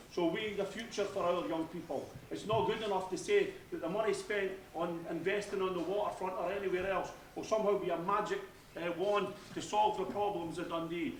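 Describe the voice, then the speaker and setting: A man giving a loud, forceful campaign speech as a candidate at a debate, repeating phrases for emphasis. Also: high voice. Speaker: election candidate. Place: university debate hall. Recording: echoey hall, far from the mic, faint noise.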